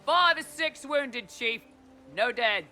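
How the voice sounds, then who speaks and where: A loyal, cheeky mercenary lieutenant in a fantasy game. The voice is high and very sharp, swinging widely in pitch with dry banter, over some music. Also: male voice, lively intonation, slow pace, full character voice. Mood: reporting. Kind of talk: video game character dialogue.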